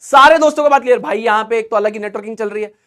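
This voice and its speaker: A young man teaching chemistry in a live class. He talks through each step in a relaxed, chatty way and keeps stopping to check that students are following along. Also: sits high, racing. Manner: chatty, asks checking questions. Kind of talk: online class lecture.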